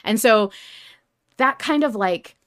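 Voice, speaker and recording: A woman hosting a podcast, talking casually and emphatically as she deliberates aloud. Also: on the high side, lively intonation, a bit bright. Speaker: podcast host. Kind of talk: casual fan discussion.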